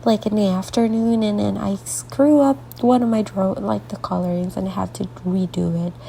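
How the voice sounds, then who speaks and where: Gravelly, stuffy-voiced woman artist. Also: mid-range voice, steady tone, normal speed, slight background noise. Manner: soft, rambling, drifts into half-whispered asides. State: congested, stuffy nose; sleepy late at night. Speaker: artist hosting a coloring tutorial. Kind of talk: casual coloring tutorial narration.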